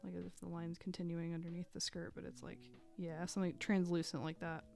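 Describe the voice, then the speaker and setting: A woman chatting casually while she draws on a live stream, thinking aloud with fillers and little laughs, a bit hoarse as she loses her voice. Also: lowish voice, level tone, fast talker, dark tone, faint music behind. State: losing her voice.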